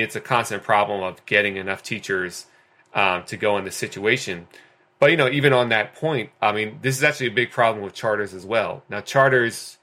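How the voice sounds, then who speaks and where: A man with a low, bright, forceful voice speaks earnestly as a guest in a conversation. He talks in long runs full of fillers and restarts. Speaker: guest teacher. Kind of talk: conversational discussion.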